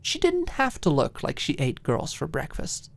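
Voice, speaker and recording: A woman narrating an audiobook story in an expressive, scripted style. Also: deep voice, moderate pace, gravelly.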